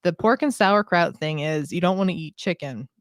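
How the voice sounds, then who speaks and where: A woman's voice: lowish voice, animated, moderate pace, no echo, studio quality. A woman from the Pennsylvania Coal Region co-hosting a podcast, explaining local folklore at length in casual chat. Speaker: podcast co-host.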